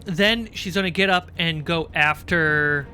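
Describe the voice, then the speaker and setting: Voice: medium pitch, measured pace, pushed and bright. A game master narrating tabletop fantasy combat and casually talking through rules. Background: background music.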